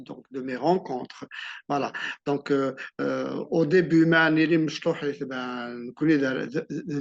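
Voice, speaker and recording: A man's voice: fairly high pitch, gravelly. A man from Algeria, an author answering interview questions in a reflective way, often restarting and repeating words. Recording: muffled lo-fi sound.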